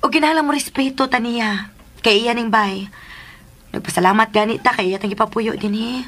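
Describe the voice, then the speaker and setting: A woman's voice: a little high, a little fast, fairly bright tone. An actress playing a humble, hesitant young woman in a radio drama, speaking with a Cebuano Filipino accent and a deferential manner. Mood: dutiful, gentle. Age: young adult.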